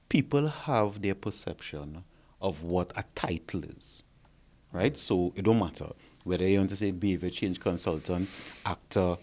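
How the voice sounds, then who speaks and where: A man's voice: low voice, darkish tone. A man who works as a therapist and behavior consultant, explaining his ideas on a discussion panel and often checking in with "right?".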